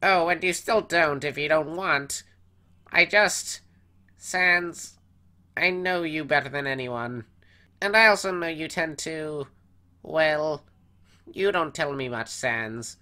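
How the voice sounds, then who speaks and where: Bright, theatrical skeleton brother. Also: male voice, a little high, takes it slowly, heavily acted. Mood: hesitant, caring. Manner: dramatic, emphatic, formal wording. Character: earnest, theatrical male skeleton, a devoted younger brother. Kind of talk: animated comic dub acting.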